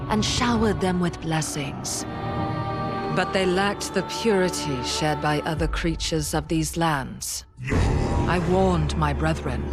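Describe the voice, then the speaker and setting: A vengeful ancient goddess delivers her threats in a slow, cold, measured way. Her menace is steady and unhurried. A loud orchestral score swells behind her. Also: low voice. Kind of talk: game cutscene monologue.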